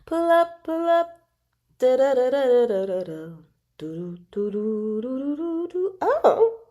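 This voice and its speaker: Female book-club host, casual and gushing, slangy, highly varied intonation, leisurely pace with dramatic emphasis. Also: big pitch swings, takes it slowly, warm tone. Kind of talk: casual fan chat recapping a novel.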